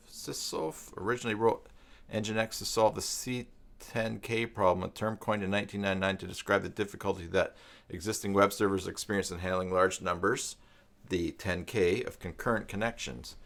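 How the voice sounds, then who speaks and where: Middle aged guy w a lowish voice doing a casual livestream, kinda rambling and thinking out loud. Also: gravelly. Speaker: live stream host, self-employed web tutor. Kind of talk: casual live stream chat, reading out viewer comments.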